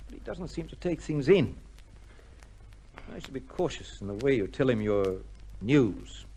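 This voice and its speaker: A prison governor in vintage radio drama. His voice sits at a middle pitch and is weighty and deliberate. He is courteous and grave, with a troubled, formal way of putting things. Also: very animated, mellow, husky, heavily acted, rough recording. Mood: troubled, cautious. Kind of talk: old-time radio drama acting.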